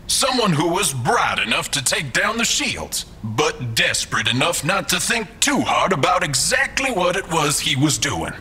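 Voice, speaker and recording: An actor playing a smug, mocking mastermind employer in a scripted audio drama, with a theatrical, falsely polite, condescending manner. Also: male voice, a little high, sing-song, moderate pace, hardly any pauses, bright, forceful tone, faint music behind. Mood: taunting.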